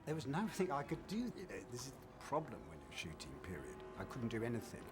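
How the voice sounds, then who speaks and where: A man's voice: mid-range voice, wildly swooping pitch, brisk, over music. A man, a film crew member telling a production anecdote. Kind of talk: interview anecdote.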